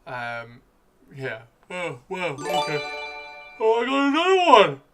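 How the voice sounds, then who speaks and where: A man hosting a casual live stream, chatting excitably and repeating his phrases, with his voice starting to give out. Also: sits high, slow, over music. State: losing his voice. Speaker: livestream host. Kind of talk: casual live stream chat while painting miniatures.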